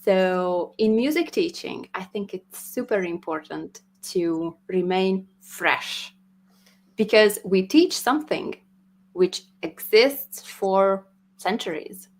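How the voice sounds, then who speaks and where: Woman music teacher. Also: mid-range voice. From Hungary. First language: Hungarian. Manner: thoughtful, laughs often, slightly halting. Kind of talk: casual interview.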